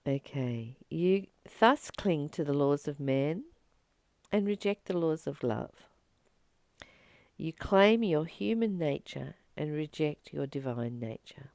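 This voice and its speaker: A woman speaks as a spiritual teacher, slowly and with a very lively, swooping pitch. She is earnest and emphatic, leaving deliberate pauses between phrases. Her voice has some gravelly creak as she moves between reading and informal commentary. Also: no echo.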